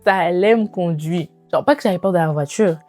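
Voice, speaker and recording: Lower-voiced young woman chatting casually. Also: fairly quick. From immigrated to Canada. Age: 20s. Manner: animated, informal, slangy French with English phrases. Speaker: lifestyle vlogger. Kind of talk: casual chatty vlog to viewers. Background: background music.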